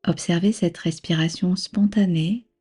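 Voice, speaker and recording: A woman with a soft, breathy voice leading a guided relaxation as a yoga nidra instructor, soothing, unhurried and gently instructing. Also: lowish voice, fairly even intonation. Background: background music.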